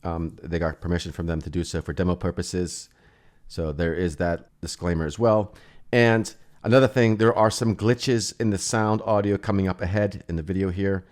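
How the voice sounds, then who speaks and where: A man giving a casual software walkthrough as presenter, thinking aloud in an informal way. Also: sits low, fairly even intonation, moderate pace. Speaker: presenter demonstrating music software.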